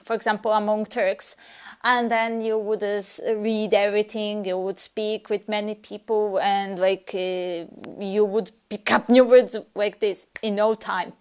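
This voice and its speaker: A woman's voice: medium pitch, little pitch movement, unhurried, a little mellow, clean tone. A woman whose first language is Serbian, giving a casual language lesson and answering a viewer, with frequent hesitations and restarts. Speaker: language teacher.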